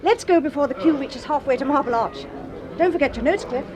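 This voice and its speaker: High-voiced, playful young female student. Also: brisk, a crowd in the background. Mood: playful, wistful. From Rhodesia. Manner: playful, expressive. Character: bright, wistful young female science student. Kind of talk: radio drama dialogue. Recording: noisy busy background ambience.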